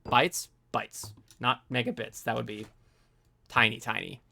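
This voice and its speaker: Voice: mid-range voice, steady tone, measured pace, gravelly, pushed and bright. A streamer reading a review aloud in a casual live commentary style, breaking off for informal, sweary asides.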